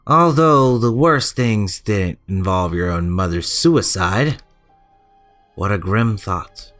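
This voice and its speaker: Male gaming host, lower-pitched voice, informal and chatty, music underneath. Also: lively intonation, slow pace, a bit bright. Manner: informal, chatty, stumbles when reading aloud. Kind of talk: casual let's play commentary, reading game text aloud.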